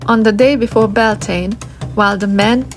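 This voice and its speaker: A woman's voice: medium pitch, fairly even intonation, moderate pace. A woman giving a scripted documentary-style narration. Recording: music under the voice. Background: music.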